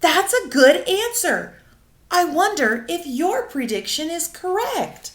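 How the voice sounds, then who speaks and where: A woman teacher leading a read-aloud lesson for children, animated and putting simple questions to her listeners. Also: very high-pitched, takes it slowly. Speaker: teacher of a young class. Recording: roomy, echoing indoor sound.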